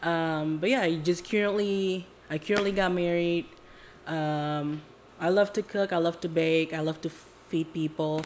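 A woman's voice: on the low side, steady tone, a little slow, a little hiss or hum. A woman in her late twenties from Indonesia, co-hosting a podcast in an informal, agreeable, slightly hedging conversational manner. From Jakarta, Indonesia. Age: late 20s. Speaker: podcast co-host. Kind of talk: casual chat.

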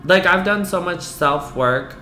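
A woman from the US East Coast telling a personal story to a host, candid and animated, filling her talk with "like" and some swearing. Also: on the low side, measured pace, faint music behind. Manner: frank, animated, peppered with "like" and swearing. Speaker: guest. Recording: roomy echo.

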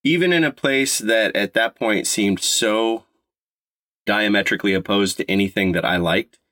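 A man in his fifties from Colorado, co-hosting a podcast in casual chat, talking with a slight stutter and halting restarts. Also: fairly low pitch, fairly bright tone, slight background noise. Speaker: podcast co-host.

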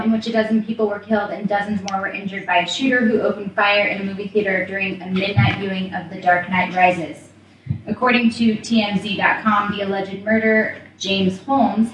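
A woman's voice: mid-range voice, fairly bright tone. Upbeat woman host, mic kinda far off, super echoey apartment room, hum behind. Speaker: podcast host. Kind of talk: casual chat. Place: small apartment. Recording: roomy, distant home recording.

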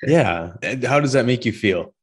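A man's voice: mid-range voice, lively intonation, normal speed, husky. A middle-aged man from Canada hosting a podcast over a video call, chatty and upbeat with rambling asides. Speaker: podcast host. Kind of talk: casual interview chat.

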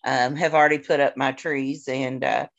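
A woman's voice: very deep voice, fairly even intonation, normal speed, creaky, lo-fi sound. A woman co-hosting a podcast, chatting in an agreeable, easygoing way with fillers. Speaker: podcast co-host. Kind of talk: casual podcast chat.

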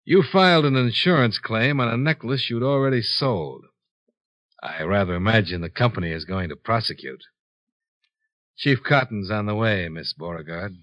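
A man's voice: on the low side, lively intonation, lightly acted. Cool hard-boiled 1950s radio detective played by a man. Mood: dry, unruffled. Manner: dry, matter-of-fact, deliberate. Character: cool, shrewd male insurance investigator, hard-boiled and unhurried. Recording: dull 1950s radio broadcast recording.

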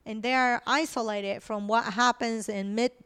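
Earnest woman with a smooth, clear voice. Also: fairly high pitch, steady tone, normal speed, fairly bright tone, no echo, clean studio sound. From Colombia, raised in Venezuela. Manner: earnest and persuasive, with fillers. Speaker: podcast guest, nonprofit founder. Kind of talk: conversational interview.

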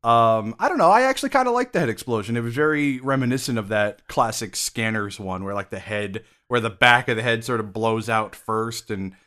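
A man's voice: mid-range voice, expressive, a little fast, few pauses, a bit bright. Stuffy-nosed man, rambling restarts. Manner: hesitant, many restarts and likes. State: stuffed-up nose. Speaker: podcast co-host. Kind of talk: casual movie review chat.

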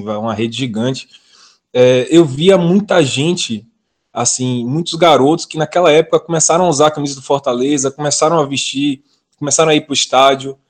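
A man from Bahia with a Bahian accent, sharing memories on a podcast in a relaxed storytelling way. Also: medium pitch. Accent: Bahian Brazilian Portuguese. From Bahia, Brazil. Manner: storytelling, uses fillers like "assim" and "aí". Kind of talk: casual podcast chat.

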